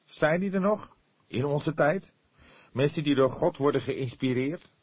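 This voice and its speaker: A man's voice: a little high, measured pace, a little mellow, husky, dry recording, pitched above the performer's own voice. A man voicing a surly, scornful young police detective in an audiobook, snappish and incredulous. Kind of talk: audiobook character dialogue.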